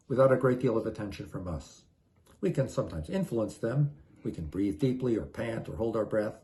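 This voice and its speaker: Older man lecturing in a mellow voice. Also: medium pitch. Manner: measured, plainly didactic, orderly. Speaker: lecturer introducing a teaching series. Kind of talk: prepared lecture, explanatory.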